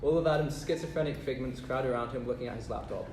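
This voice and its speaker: A man's voice: mid-range voice, fast. A man reading stage directions aloud from a script. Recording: echoey, distant room with noise.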